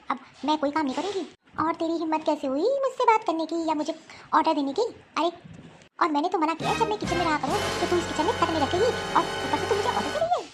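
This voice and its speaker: A woman plays a quarrelsome young wife, the elder daughter-in-law, who snaps back with rude, defiant retorts. Her voice is very high for a woman. The scene comes from a homemade family skit.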